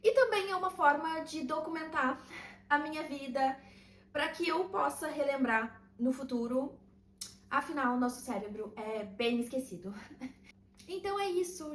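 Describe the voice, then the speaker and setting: High-voiced woman in an echoey room. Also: normal speed. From southern Brazil. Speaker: vlogger and routine mentor. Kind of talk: casual talking-to-camera vlog.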